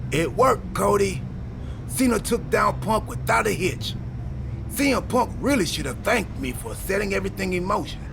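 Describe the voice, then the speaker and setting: Casting: cheerfully muddle-headed wrestler schemer for a game, high gravelly voice, comic earnest rambling, over loud music. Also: male voice, lively intonation, moderate pace. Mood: scheming, pleased. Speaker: wrestler character in a game story mode. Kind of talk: video game cutscene acting. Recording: background music under the voice.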